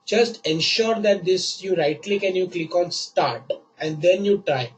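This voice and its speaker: A man teaching a live online class while he has a cold, patient and repeating each step slowly. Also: sits high. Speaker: online course instructor.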